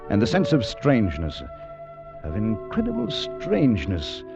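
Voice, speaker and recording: An actor playing a polite, hesitant gentleman time traveler who also narrates in a 1950s radio drama style. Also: on the low side, wildly swooping pitch, unhurried, gravelly, exaggerated voice. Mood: wondering narration. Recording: dull vintage radio recording, music behind.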